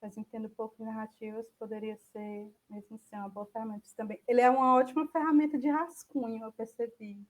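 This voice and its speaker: A Brazilian woman speaks in a fairly high register while leading an informal online talk. She thinks aloud with some hesitation, walking listeners through a program and fielding their questions. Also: steady tone, quick pace, hardly any pauses, rough recording. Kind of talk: informal software demo, answering viewer questions.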